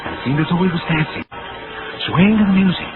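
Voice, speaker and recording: An actor playing a haunted, delusional man in a 1940s radio thriller, telling his nightmarish memory haltingly and breaking into panic. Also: sits high, lightly acted. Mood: mounting terror. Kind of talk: 1940s radio thriller acting, first-person narration. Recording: tinny vintage radio, loud music behind.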